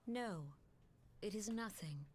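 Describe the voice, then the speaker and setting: A woman playing a solemn, composed elven leader in a video game, speaking formally and gravely. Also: mid-range voice, expressive, takes it slowly, darkish tone, a little hiss or hum. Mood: wary. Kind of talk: dramatic video game acting.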